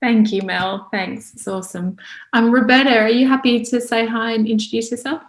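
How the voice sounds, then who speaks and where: A woman's voice: a little high, fairly even intonation, normal speed. A woman hosting a video meeting, upbeat and encouraging as she hands over to each guest. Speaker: host. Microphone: video call.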